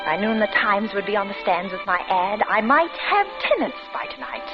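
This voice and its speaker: An actress playing an anxious, paranoid woman in a 1940s radio suspense drama, intense, theatrical and halting. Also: mid-range voice, sing-song, highs cut off, close to an everyday voice. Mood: uneasy confidence. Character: anxious, increasingly paranoid single woman tormented by imagined intruder. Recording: band-limited old radio recording, loud music.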